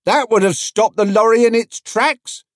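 A man's voice: extremely high pitch, moderate pace, dry recording, studio quality, voice pushed up. A man voicing chatty male market traders in a storybook, folksy, emphatic and full of advice. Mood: excited, opinionated.

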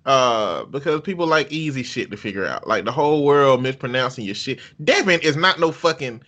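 A man's voice: medium pitch, expressive, brisk, a bit bright. A man co-hosting a talk show, giving blunt, profane opinions. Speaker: podcast co-host. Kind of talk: casual opinionated chat.

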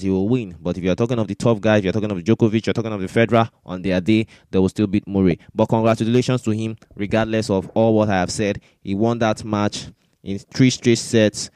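A man's voice: fairly low pitch, a little mellow, clean tone, no room sound, studio quality. A man hosting a sports discussion, giving opinionated, argumentative commentary with points repeated for emphasis. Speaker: sports podcast host.

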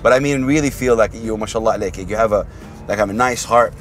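A man's voice: on the low side, fast talker, faint music behind. A man interviewing a guest in a friendly, rambling way, slipping Arabic words into his questions. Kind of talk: casual interview talk.

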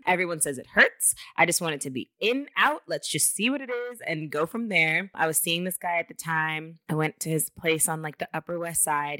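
Candid late-twenties woman with a bright voice. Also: low-pitched, no room sound, studio quality. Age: late 20s. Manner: candid, animated storyteller, swears. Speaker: podcast guest. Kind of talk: casual podcast chat.

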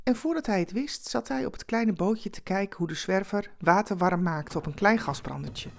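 A woman narrating a scripted story expressively, with conversational asides and dramatic pauses. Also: medium pitch, animated, vocal fry, a little hiss or hum.

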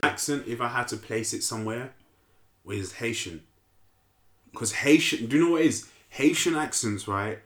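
A man's voice: mid-range voice, normal speed, a bit bright. A young man co-hosts a podcast, blunt and opinionated with a lot of swearing. His voice sits mid-range, and the room gives it a roomy echo. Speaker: podcast co-host. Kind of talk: casual chat.

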